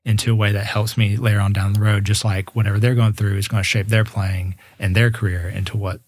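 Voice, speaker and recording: A man's voice: fairly low pitch, flat delivery, fast talker, no room sound. A man in his twenties, a musician guest in a casual interview, talking earnestly and reflectively with frequent restarts. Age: 20s.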